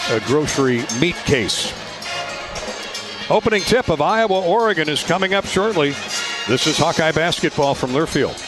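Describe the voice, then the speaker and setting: A man working as a radio play-by-play announcer, giving sports commentary in a somewhat halting way. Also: medium pitch.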